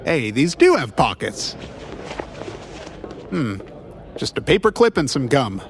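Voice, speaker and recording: Wisecracking ex-cop detective played by a man, animated mid-range delivery, quippy, easily flustered, audio drama banter. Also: mid-range voice, slow, background noise. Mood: casual, wry. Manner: flustered stammering, flippant quips. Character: wisecracking, awkward male private eye, rough-edged ex-cop. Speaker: private detective character, former cop.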